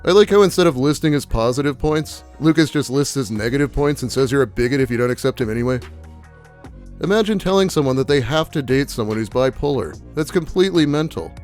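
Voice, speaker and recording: A man's voice: mid-range voice, animated, fast, darkish tone, soft background music. A man hosting a commentary video, reading online posts aloud and mocking them in a dry, blunt, profane way.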